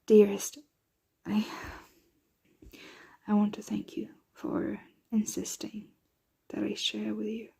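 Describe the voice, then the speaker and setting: A woman playing a protective, doting yet anxious vampire mistress in an ASMR roleplay, breathy and often whispering, with formal, measured diction. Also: mid-range voice, completely flat delivery, dark tone, heavily acted. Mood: soothing, affectionate.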